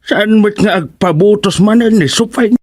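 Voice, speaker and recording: Man acting high-voiced, stubborn old Ilocano man. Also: moderate pace, slightly rough, no echo, clean studio sound. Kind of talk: Ilocano radio comedy-drama acting.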